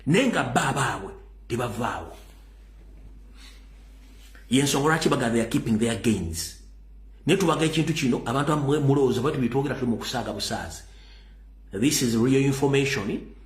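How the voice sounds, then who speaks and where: A man's voice: mid-range voice, animated, fairly quick, pauses often. Insistent male political commentator, echoey room. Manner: urgent, insistent, mixing English into his speech. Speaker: political talk show host.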